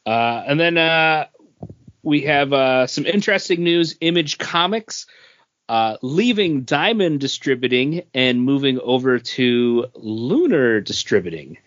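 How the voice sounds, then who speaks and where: A man's voice: mid-range voice, lively intonation, measured pace, fairly bright tone. Blunt man in lo-fi podcast chat. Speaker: podcast co-host. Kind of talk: casual pop culture podcast chat.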